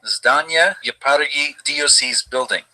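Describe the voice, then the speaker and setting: A man reading scripted documentary narration in a flat, measured way. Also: fairly high pitch, rough recording.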